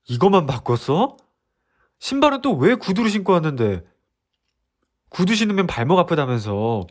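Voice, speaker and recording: An actor playing an earnest young boyfriend who is clumsy with words, speaking straight to his girlfriend and pleading with her softly. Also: on the low side. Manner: pleading softly, then ranting in a rush. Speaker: boyfriend in a role-play drama. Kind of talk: listener-directed role-play drama.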